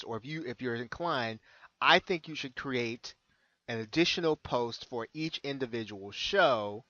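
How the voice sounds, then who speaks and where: Halting American man on dull, dry audio. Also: medium pitch, fairly bright tone, dry recording. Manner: hesitant, halting, repeats phrases. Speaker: online marketing consultant giving a tutorial. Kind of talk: unscripted screen-share tutorial. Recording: dull lo-fi close recording.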